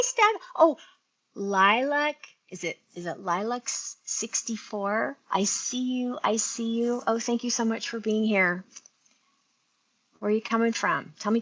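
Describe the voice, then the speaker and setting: Chatty sixty-year-old woman, bright voice. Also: fairly high pitch, expressive, a little slow. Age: 60s. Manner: chatty, rambling, talks to viewers. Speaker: beauty livestream host. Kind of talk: casual live-stream chat. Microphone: earphone mic linked to phone.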